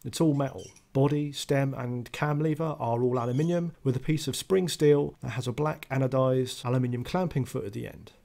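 A man's voice: mid-range voice, fairly quick, creaky, few pauses, dark tone. A man giving a scripted voice-over tool review, matter-of-fact with dry, understated asides.

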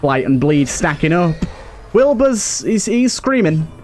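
A man's voice: on the high side, sing-song, a little slow, a little mellow, a little hiss or hum. A man giving casual commentary as he plays a game, thinking aloud in an understated way. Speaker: gaming video host.

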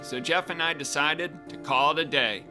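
A man in his thirties, host of a fishing show, narrating in a calm, steady scripted voice. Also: a little high, normal speed. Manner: calm, steady narration, excited exclamations on the boat. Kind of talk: scripted narration and casual chat on a boat. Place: small boat on a freshwater pond, outdoors. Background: guitar music.

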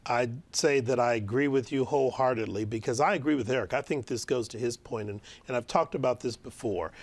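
Middle-aged guy on a tv panel, plain chatty way of talking, mid pitch, lapel mic. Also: a little fast, no room sound. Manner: plain-spoken and conversational. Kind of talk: televised political panel debate. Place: television studio desk.

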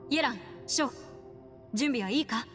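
A woman's voice: a little high, lively intonation, moderate pace, a bit bright. An actress playing a confident young woman scholar in an anime-style game, explaining things in an assured way. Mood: focused. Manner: assured, explanatory, crisp phrasing. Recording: long reverb, music underneath.

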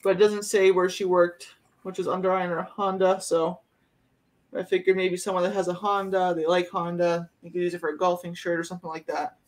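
A woman's voice: lowish voice, level tone, brisk. A woman in her thirties hosts a live clothing auction from a small bedroom at home. She describes each item in a loose, rambling way, full of fillers and asides. The sound is that of a webcam at her desk. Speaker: online auction host.

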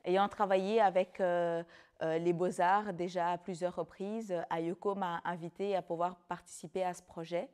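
A woman's voice: lowish voice, steady tone, moderate pace, a little mellow, clear, resonant tone. A woman. She is a slam and spoken word artist, speaking as someone who performs her own words. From Belgium (Brussels), of Rwandan descent. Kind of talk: spoken word performance alternating with interview talk.

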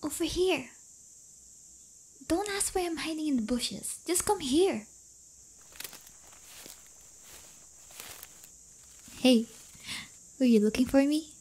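A woman's voice: high voice, lively intonation, unhurried, darkish tone, voice pushed up. A woman playing a brash, playful teenage delinquent girlfriend in an intimate audio roleplay, with slangy, teasing, casual talk. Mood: sheepish, hushed. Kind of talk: audio roleplay acting, intimate one-sided dialogue.

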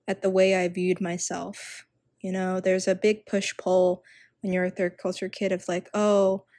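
A woman's voice: mid-range voice, a little mellow, no echo. Earnest young woman explaining, dry sound. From China. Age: young adult. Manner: earnest, conversational, with fillers. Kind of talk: casual explanation.

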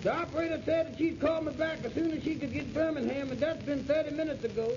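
A man's voice: very high-pitched, fast, highs cut off. An actor playing an anxious, eager, lovesick man in a 1920s comedy phonograph routine. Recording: noisy, band-limited 1920s phonograph record.

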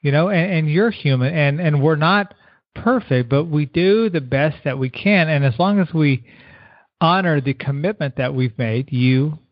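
A middle-aged man, a keynote speaker guest giving earnest motivational advice, repeating words and slowing down to stress his points. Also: on the high side, dry recording. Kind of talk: motivational interview answers. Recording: dull lo-fi radio sound.

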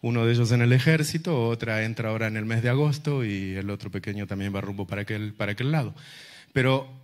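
Argentine man preaching in storyteller style. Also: fairly low pitch. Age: middle-aged. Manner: animated storyteller, rhetorical questions, dramatic pauses and repetition. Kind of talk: sermon with personal storytelling to a congregation. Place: large indoor church auditorium, on stage. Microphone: handheld microphone.

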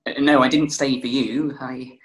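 A man's voice: mid-range voice, steady tone, moderate pace, close to an everyday voice. A young man playing a guarded, blunt, awkward schoolboy in a theatrical table read. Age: young adult. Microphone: video call.

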